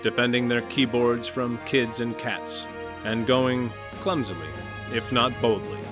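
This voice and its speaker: Man, tabletop player and narrator, playful, casual restarts, mid pitch, backing music. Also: steady tone, measured pace. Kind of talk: scripted intro then casual game chat.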